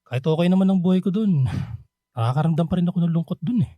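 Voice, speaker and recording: A man's voice: on the high side, fast talker, mellow, dry recording, close to an everyday voice. A Filipino man playing a nostalgic, bashful middle-aged bachelor back home from abroad in a radio drama. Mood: friendly, nostalgic. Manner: easygoing, chuckling, sheepish.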